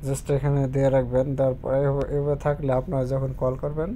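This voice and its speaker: A man's voice: medium pitch, flat delivery, quick pace, dark tone, a little hiss or hum. A man giving a casual, unscripted app tutorial, often searching for words as he explains. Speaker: tech tutorial presenter.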